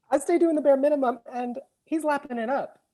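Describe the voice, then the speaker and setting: A man's voice: extremely high pitch, unhurried, dark tone, low-quality recording. A man playing a sassy, blunt, teasing best friend in a screenplay table read. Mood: sassy, dry.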